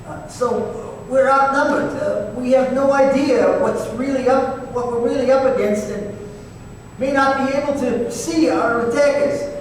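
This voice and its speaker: A man playing a terse, sardonic detective partner in an old-time radio drama, with a halting, deadpan delivery. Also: very high voice. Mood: deadpan, tense. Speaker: actor in a staged radio play. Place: live performance in a reverberant hall. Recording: very echoey hall, distant mic, faint noise.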